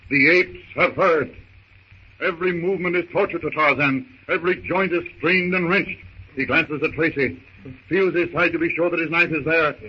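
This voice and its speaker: A noisy, dull old radio recording carries a man narrating a 1930s adventure serial. His high-set voice is theatrical and urgent, punching out short, emphatic phrases in dramatic scripted style.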